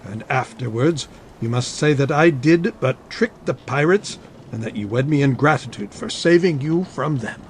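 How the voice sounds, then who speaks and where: A man playing a scheming army captain in a dramatic audiobook, speaking formally with smooth menace. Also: medium pitch, animated, moderate pace, a little hiss or hum, lightly acted. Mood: calculating, bargaining. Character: scheming, coercive male army captain, a suave villain. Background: ambience under the voice.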